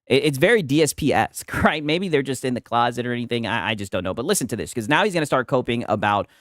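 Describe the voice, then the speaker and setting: A man's voice: mid-range voice, animated, fast talker, a bit bright, no echo, studio quality. A man hosting a live stream, reacting to clips in a mocking way and tagging his sentences with "right?". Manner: mocking, laughing often. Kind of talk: casual live-stream commentary.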